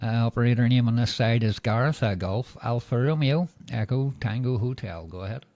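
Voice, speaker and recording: A Northern Irish man talks over a ham radio link with a mellow, dark tone. His voice is close and dry, without room echo. He speaks in a measured way, with lots of "uh" and "indeed there". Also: medium pitch. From Northern Ireland. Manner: measured, filler-heavy, signs off with "go ahead". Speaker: radio operator. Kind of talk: casual radio chat.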